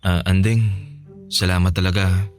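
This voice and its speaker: A man's voice: sits low, level tone, moderate pace, soft background music, lightly acted. An actor playing a kind, feverish twenty-year-old man in a radio drama, with a casual manner and a Filipino accent. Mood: gentle, grateful. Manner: casual, gentle, grateful.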